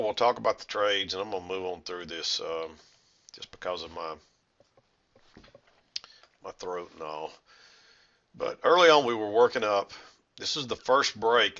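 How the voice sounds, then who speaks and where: A middle-aged man with a hoarse, congested voice from a head cold, explaining chart trades in a rambling, self-correcting way. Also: low voice, bright, forceful tone, muffled recording. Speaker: trading educator. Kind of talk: screen-share trading recap.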